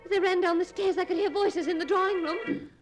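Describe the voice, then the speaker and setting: An actress playing a desperate, frightened young heiress in 1940s radio melodrama, breathless, halting and urgent. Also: female voice, extremely high pitch, fairly even intonation, faint music behind. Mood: anxious narrating. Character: terrified, desperate young heiress pleading and narrating her ordeal. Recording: noisy 1940s radio broadcast.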